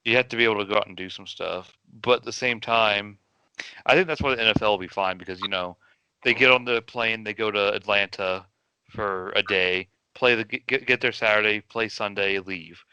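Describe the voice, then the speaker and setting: A man's voice: on the low side, brisk, bright, forceful tone, smooth, no room sound, muffled recording. A man co-hosting a podcast in casual chat, steering the topics with hesitant restarts. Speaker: podcast co-host.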